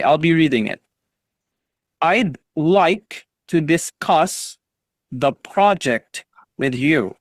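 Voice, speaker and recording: A man's voice: a little high, dry recording, clean studio sound. A man teaching an online English class over a video call, patiently drilling students on pronunciation and encouraging them.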